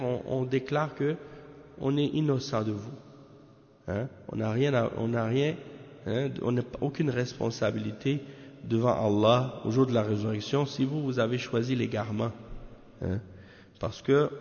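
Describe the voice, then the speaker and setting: Gravelly man teaching in an echoey hall. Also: mid-range voice, a little mellow. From Canada. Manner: measured, peppers talk with tag questions. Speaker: religious teacher. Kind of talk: religious lesson commenting on a text. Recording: echoey hall, dull lo-fi sound.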